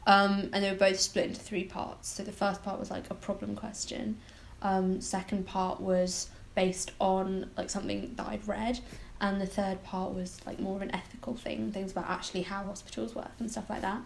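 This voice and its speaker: A woman's voice: mid-range voice, flat delivery, moderate pace, gravelly, slight background noise. A young woman of about twenty, a medical student, chatting about her interviews with frequent likes and ums. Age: around 20. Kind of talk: casual interview answers.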